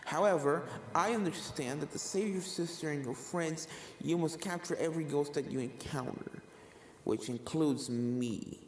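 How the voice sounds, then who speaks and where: A performer voicing a sinister female ghost mare who speaks softly and slowly with calm menace in a fan fiction reading. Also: mid-range voice, roomy sound, slight background noise, natural voice, poor audio. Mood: resigned menace. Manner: hushed, slow, calmly menacing. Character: soft-spoken sinister female ghost mare, former serial killer.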